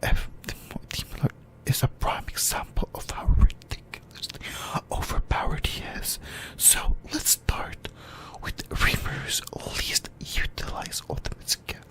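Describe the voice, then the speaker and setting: A person whispering breathily in a halting ASMR explainer about anime lore, often repeating phrases. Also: a bit bright, rough recording. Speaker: YouTuber, ASMR host.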